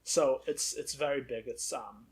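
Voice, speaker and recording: A man's voice: mid-range voice, a little slow, heavy background noise. A young man from Ethiopia chatting easily as a talk-show guest, laughing often and saying "you know" a lot. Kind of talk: casual chat.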